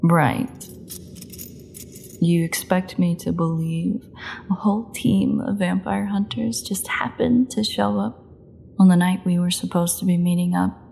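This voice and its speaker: A starving female vampire held captive, acted by a woman in a dark audio drama. Her voice is mellow and creaky, with a taunting, flirtatious edge that barely covers her desperation. She often slips into breathy half-whispers, over faint background noise. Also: lowish voice, steady tone. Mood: bitter, sarcastic. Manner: sardonic, teasing, breathy half-whispers, slow deliberate delivery. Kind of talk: scripted audio roleplay monologue.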